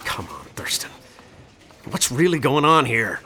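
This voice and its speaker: A man's voice: mid-range voice, very animated, measured pace, bright, forceful tone, a little hiss or hum. A man playing a stern, obsessed detective in a radio drama, curt and intense. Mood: brooding. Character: stern, obsessed police detective pulling rank.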